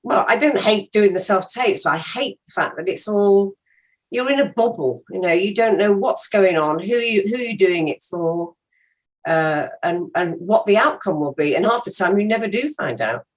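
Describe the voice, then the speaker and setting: A woman's voice: medium pitch, animated, moderate pace, low-quality recording. An older woman, an interview guest, talking frankly and emphatically in casual conversation.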